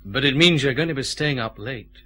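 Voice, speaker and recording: An actor playing a shrewd, decisive detective chief inspector with a Scottish accent and a brisk, commanding manner in a radio drama. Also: male voice, medium pitch, expressive, bright, forceful tone, slightly rough, soft background music. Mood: firm, confident.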